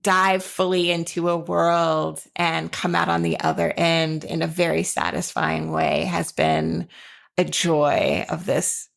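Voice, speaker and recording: American woman co-host, lowish register with noticeable fry, informal and enthusiastic conversational delivery. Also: flat delivery, takes it slowly. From Florida, United States. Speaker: podcast co-host, writer. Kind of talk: conversational podcast hosting.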